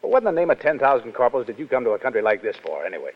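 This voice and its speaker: Brisk, lively legionnaire, vintage radio. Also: male voice, medium pitch. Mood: weary, wry. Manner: casual, laconic, stubborn. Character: brash, world-weary young Foreign Legion private. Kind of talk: 1930s radio drama acting. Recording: dull, noisy 1930s radio broadcast.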